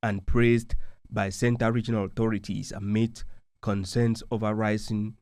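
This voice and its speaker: A man reading a scripted news report as a voice-over, slow and deliberate. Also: on the low side, flat delivery, a little mellow, no echo.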